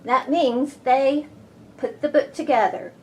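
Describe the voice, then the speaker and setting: A woman reading a picture book aloud to children, expressive and deliberate, with playful emphasis. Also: high voice, slight background noise. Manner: expressive, deliberate, with playful sound effects and gasps.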